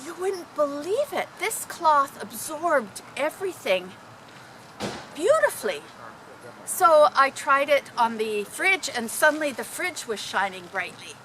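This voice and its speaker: Lady telling stories, really high bright voice, acts bits out, bit of noise behind her. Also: measured pace, rough recording. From Canada. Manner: animated, dramatic, acting out exclamations. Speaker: storyteller. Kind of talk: informal storytelling to a group.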